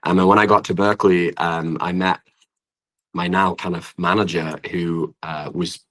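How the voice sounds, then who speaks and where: Deep-voiced young British man, bright tone. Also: steady tone, a little slow. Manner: rambling, informal, frequent "like". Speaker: guest singer-songwriter. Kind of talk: casual interview chat. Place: at home in an apartment.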